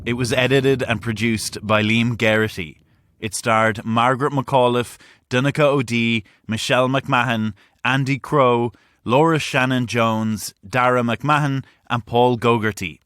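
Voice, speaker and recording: A man's voice: medium pitch, steady tone, unhurried, a bit bright, clean tone, no room sound, clean studio sound. A man giving a scripted credits read as an announcer.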